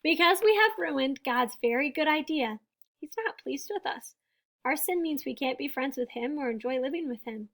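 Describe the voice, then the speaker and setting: A woman reading a children's picture book aloud, with deliberate, emphatic phrasing and small explanatory asides for young listeners. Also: sits high, animated, fairly bright tone. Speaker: storybook reader and teacher.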